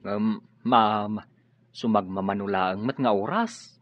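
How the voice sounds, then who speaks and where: An actor playing a concerned, deferential household helper in a radio drama. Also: male voice, fairly low pitch, takes it slowly, no room sound. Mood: hesitant. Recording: dry, muffled lo-fi radio drama with music.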